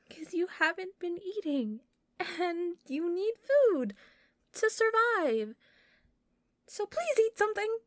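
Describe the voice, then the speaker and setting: A shy, obsessively devoted young yandere woman, played by a voice actress in an intimate roleplay audio. She coaxes softly and hesitantly, taking her time over her words. Her voice is fairly high and recorded very dry and close, slipping toward whispers. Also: no echo. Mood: pleading, anxious. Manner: soft, hesitant, coaxing, breaking into whispers.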